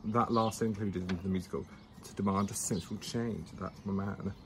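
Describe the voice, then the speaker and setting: A man's voice: low voice, fairly even intonation, normal speed, background noise. A young man co-hosting a casual outdoor chat, reading from notes with stumbles and restarts, teasing his co-host. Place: outdoors in the sun.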